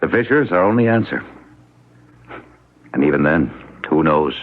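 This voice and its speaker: An actor playing an earnest, persuasive American town leader, patient but desperate, in a solemn 1970s radio drama style. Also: male voice, extremely low pitch, expressive, normal speed. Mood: grave, persuasive. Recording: muffled, tinny vintage radio recording.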